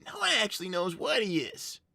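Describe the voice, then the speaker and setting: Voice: mid-range voice, sing-song, brisk, gravelly, pushed and bright, no echo, full character voice. A performer voicing a young boy fox, stammering and exasperated as he argues with his mother in an improvised livestream roleplay. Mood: explaining. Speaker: character on a live roleplay show. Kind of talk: improvised in-character livestream chat.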